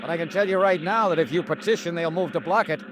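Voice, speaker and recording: An American actor playing a skeptical insurance investigator in a 1950s radio drama, clipped and wry, matter-of-fact in narration and dialogue. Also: male voice, fairly high pitch, fast talker, background noise, natural voice. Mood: skeptical, firm. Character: hard-boiled, skeptical male insurance investigator. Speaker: lead actor and first-person narrator. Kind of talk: 1950s radio detective acting and scripted narration. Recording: vintage radio recording with music.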